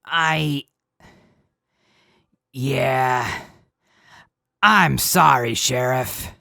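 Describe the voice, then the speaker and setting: A woman playing a sheepish, battered deputy guard with a creaky voice and a halting, apologetic manner in a radio drama. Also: very deep voice, bright, forceful tone, no room sound, clean studio sound, exaggerated voice. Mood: sheepish, pained. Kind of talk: post-apocalyptic radio drama acting.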